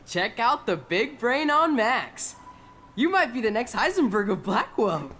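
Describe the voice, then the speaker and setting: An actor playing an eager, goofy student science nerd in a video game. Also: male voice, very high voice, very animated, unhurried, pushed and bright, slight background noise, exaggerated voice. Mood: impressed, playful. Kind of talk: playful video game acting.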